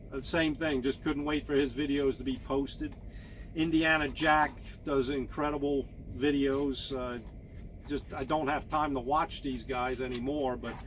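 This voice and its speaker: Fifties trucker guy, flat monotone, lots of uh and repeats, mid pitch, faint hum behind. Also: low-quality recording. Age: 50s. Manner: monotone, halting with many fillers and repeats. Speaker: truck driver and vlogger. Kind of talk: casual talk to camera.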